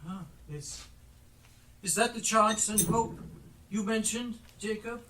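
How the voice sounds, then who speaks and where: A man's voice: high-pitched, steady tone, takes it slowly, fairly bright tone. A man on stage playing a skeptical, blustering old miser who is easily frightened, in theatrical style. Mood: timid, hesitant. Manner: blustering, stammering when frightened. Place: theatre stage, recorded live. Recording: distant live stage play recording.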